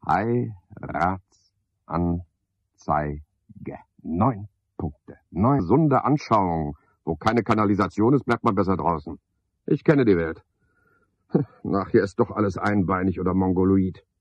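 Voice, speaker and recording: An actor playing a cynical, scheming night porter who talks to himself in a sardonic, pedantic way in a radio play monologue. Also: male voice, low voice, animated, a little slow, breathy edge, no room sound, heavily acted. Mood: scheming, boastful. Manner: sardonic, pedantic, swinging from muttering to outbursts. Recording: dull, lo-fi, dry studio radio recording.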